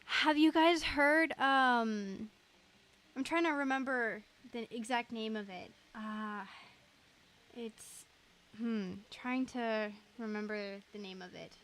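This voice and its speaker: Chatty, higher-voiced young woman streaming. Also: takes it slowly, a bit bright. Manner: chatty, gushing. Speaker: game livestreamer. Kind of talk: casual livestream chat.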